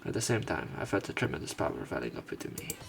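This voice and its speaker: A man's voice: low voice, very animated, very fast, gravelly. A man narrating a visual novel let's play in a subdued, tired way, mixing read-aloud text with casual commentary. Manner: subdued, tired-sounding delivery. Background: game music.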